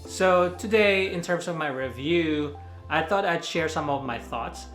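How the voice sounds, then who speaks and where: A man's voice: a little high, measured pace, fairly bright tone, echoey room, faint music behind. A man from the Philippines with a Filipino accent, giving a casual spoken movie review with lots of fillers and self-corrections. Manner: rambling, many fillers, laughs at own slips.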